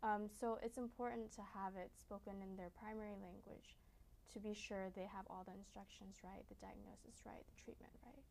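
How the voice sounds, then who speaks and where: A woman guest on a talk show, calmly explaining in measured sentences. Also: mid-range voice, gravelly.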